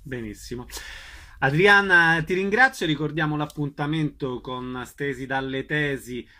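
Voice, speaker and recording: Bright-voiced man interviewer, slightly high pitch. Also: unhurried. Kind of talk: conversational radio-style interviewing.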